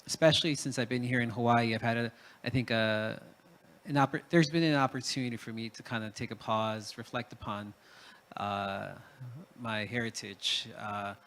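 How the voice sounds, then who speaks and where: A man's voice: medium pitch, fairly even intonation, normal speed, fairly bright tone. A man from Peru speaking as a panelist in a reflective talk, hesitant, with many fillers and restarts.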